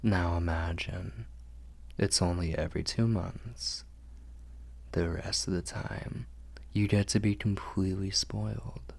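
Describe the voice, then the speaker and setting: Man voicing doting male vampire lord, ASMR roleplay, deep gravelly murmur, unhurried, formal, intimate. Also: steady tone, exaggerated voice. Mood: calm, protective. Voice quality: breathy, gravelly, low. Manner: slow, soothing, formal and courtly phrasing.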